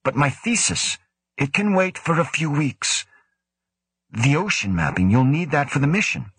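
A man voicing an earnest young male scientist who argues quickly and defensively. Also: on the high side, very animated, fairly bright tone, husky, no echo, pitched above the performer's own voice, exaggerated voice.

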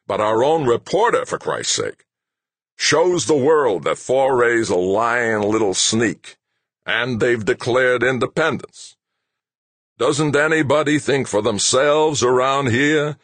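A man's voice: on the low side, moderate pace, a bit bright, no room sound, voice pushed up. Man acting out a furious, bellowing media boss. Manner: bellowing, sarcastic, sweary. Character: furious bullying middle-aged male media boss. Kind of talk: audiobook character dialogue.

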